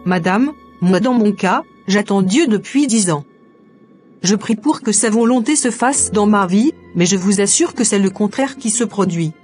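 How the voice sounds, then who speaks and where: Earnest young woman in an animated drama, acted by a woman. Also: mid-range voice, normal speed, soft background music, lightly acted. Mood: troubled, questioning. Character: devout young single woman, earnest and trusting.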